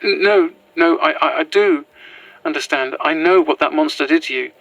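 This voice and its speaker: A woman's voice: sits low, no echo, lo-fi sound. A woman playing an anxious, pragmatic fugitive in a naturalistic found-footage audio drama, speaking hesitantly and earnestly. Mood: earnest, insistent. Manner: hesitant, halting, nervous.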